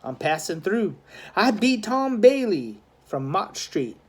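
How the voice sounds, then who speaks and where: A man voicing a rough, sneering young street gang fighter with scornful, slangy speech in a storybook. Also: sits high, big pitch swings, takes it slowly, voice pushed up, exaggerated voice. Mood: boastful.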